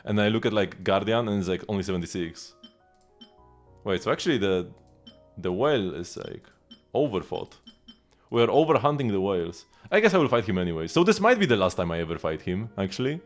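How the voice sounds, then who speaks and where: A man's voice: on the low side, lively intonation, fairly quick. A man giving casual live gameplay commentary, rambling and thinking aloud as he goes. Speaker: game streamer. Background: game music.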